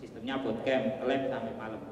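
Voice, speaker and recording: A man with a Javanese accent giving a relaxed conference talk, with joking asides and plenty of fillers. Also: medium pitch, normal speed, a little hiss or hum. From Java. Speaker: conference presenter. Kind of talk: casual technical presentation. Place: large hall. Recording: echoey hall, voice from far off.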